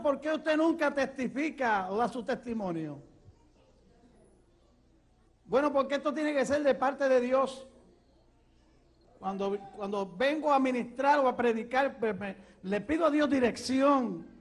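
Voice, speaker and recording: A man with a Puerto Rican accent, an evangelist preaching and telling his testimony with fervor that rises to shouts. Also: very high voice, measured pace. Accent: Puerto Rican Spanish. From Puerto Rico. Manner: fervent, rising to shouts.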